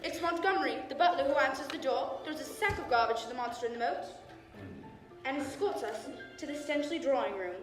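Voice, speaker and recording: High voiced spooky radio mystery host woman acting, dramatic narration, distant and echoey w music. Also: lively intonation, fast. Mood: ominous. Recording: echoey hall from far off, loud music.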